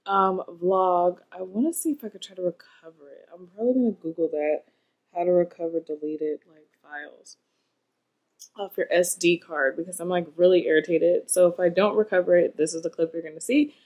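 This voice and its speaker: A woman's voice: on the low side, brisk, a little mellow, dry recording. A woman in her late twenties with an American accent, chatting informally and expressively to her viewers as a vlogger. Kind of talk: casual vlog chat to viewers. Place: small furnished room, later parked car.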